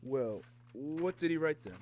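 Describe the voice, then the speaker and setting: An actor playing a businesslike government agent, questioning formally in a 1950s radio drama style. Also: male voice, a little high, lively intonation, a little slow, a little mellow, slight background noise. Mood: businesslike, probing.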